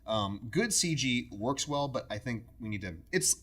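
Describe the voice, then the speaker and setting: A man's voice: medium pitch, expressive, moderate pace, fairly bright tone. A man chatting casually as a podcast co-host, emphatic and jokey, given to playful outbursts. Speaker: podcast co-host, works at a retro video game store. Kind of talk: casual, bantering pop-culture podcast chat.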